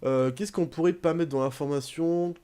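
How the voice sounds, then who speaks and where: A man's voice: fairly high pitch, normal speed, clear, resonant tone. Chatty French-speaking man commentating a game. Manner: chatty, rambling, bursts into excited shouting at goals. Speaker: gaming YouTuber. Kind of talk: casual gameplay commentary.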